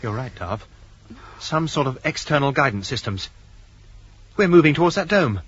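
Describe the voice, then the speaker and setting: An actor playing a cautious, authoritative young spaceship commander in a 1980s radio drama, giving clipped orders. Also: male voice, mid-range voice, expressive, moderate pace, a bit bright, husky. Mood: resolute. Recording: dull old radio recording, faint noise.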